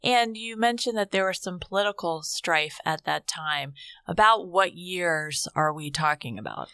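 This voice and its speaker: A woman's voice: on the low side, expressive, sharp tone, smooth, no echo. Woman hosting a studio interview. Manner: measured, asks short follow-up questions. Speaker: talk show host.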